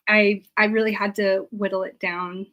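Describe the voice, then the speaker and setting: A woman's voice: mid-range voice, little pitch movement, normal speed, muffled recording. Woman historian thinking aloud. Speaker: public historian, interview guest. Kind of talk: informal interview answers about historical research.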